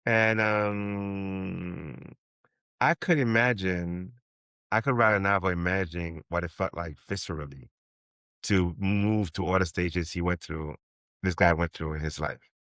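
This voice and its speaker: A man's voice: low voice, a little slow, fairly bright tone, dry recording. A man in his fifties from Haiti, a novelist guest talking in a rambling way with many restarts and ums. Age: 50s. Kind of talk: interview conversation.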